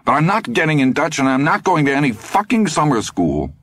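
A man voicing a scared, angry teenage boy who talks fast and defiantly. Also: on the high side, sing-song, fairly bright tone, no room sound, clean studio sound, voice pushed up. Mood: agitated.

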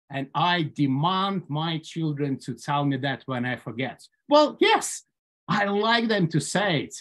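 A man in his forties with a Ukrainian accent, giving a prepared humorous speech at an online club meeting with dry humor and measured phrasing. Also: fairly high pitch. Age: 40s. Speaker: club speaker. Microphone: video call.

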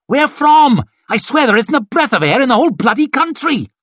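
Audiobook alarmed officer, done by a male narrator: high, wailing, flustered, wildly animated, husky. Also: moderate pace, dry recording, heavily acted. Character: panicky, flustered onlookers and officers crying out in alarm.

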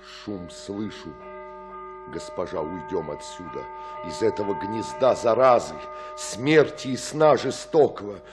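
Guy acting scared pious monk in a radio play, theatrical, slowish, faint music behind. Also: lowish voice, lightly acted. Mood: urgent, frightened. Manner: theatrical, exclamatory. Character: fearful, pious monk, horrified and urgent.

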